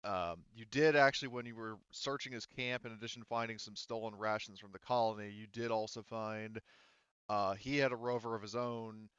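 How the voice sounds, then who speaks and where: A man with a smooth, fairly low voice acting as game master. He explains the scene casually, stopping and restarting, on a close, dry mic with slightly dulled sound. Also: fairly even intonation, fairly quick, a bit bright, no room sound, highs cut off. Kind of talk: casual tabletop game narration and chat.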